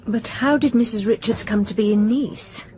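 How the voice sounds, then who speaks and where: A woman playing a curious, direct helper to a detective in an audio drama, with a brisk questioning manner. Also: fairly high pitch, a little mellow, close to an everyday voice.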